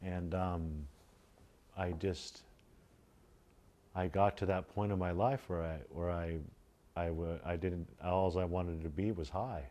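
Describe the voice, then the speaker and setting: A man in his thirties speaks candidly in an indoor interview. His voice is low and mellow. He speaks haltingly, stopping and restarting his sentences. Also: flat delivery. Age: 30s. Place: indoor room.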